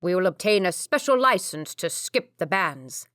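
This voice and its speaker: A woman's voice: fairly low pitch, sing-song, normal speed, a bit bright, dry recording, clean studio sound. A woman voicing a domineering, scheming older nobleman who gives orders in a lazy drawl, in a storybook reading. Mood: commanding.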